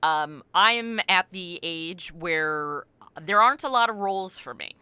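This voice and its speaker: Middle-aged female podcast guest, forward bright tone in a dry, close recording, informal and animated. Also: lowish voice, a little slow, clear, resonant tone, dry recording. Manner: animated, self-deprecating, acts out quoted remarks. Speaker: podcast creator and voice actor, interview guest. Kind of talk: casual interview chat.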